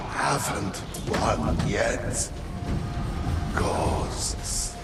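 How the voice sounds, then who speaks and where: A menacing spirit warlord from a video game, fairly low and extremely slow. The defiant taunts swing widely in pitch. The voice echoes beneath loud music. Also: male voice, animated, vocal fry, full character voice. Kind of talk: game villain acting. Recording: echoing voice under loud music.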